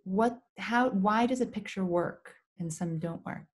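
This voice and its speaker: Young woman host on a video call, gentle questioner, middle pitch. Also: a little slow, husky. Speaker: interviewer, host. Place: room with red banner.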